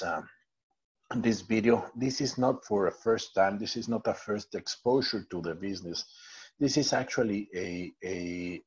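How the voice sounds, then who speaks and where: A man's voice: lowish voice, darkish tone, breathy edge, highs cut off. A man from Mexico with a Mexican Spanish accent, Spanish his first language, giving an online training talk with many fillers and restarts. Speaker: network marketing trainer.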